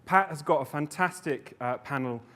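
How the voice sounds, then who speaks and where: A man's voice: on the high side, moderate pace, fairly bright tone. A man on a conference stage introducing a panel, often breaking off mid-sentence to restart his thought. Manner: rambling, self-interrupting. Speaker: conference presenter.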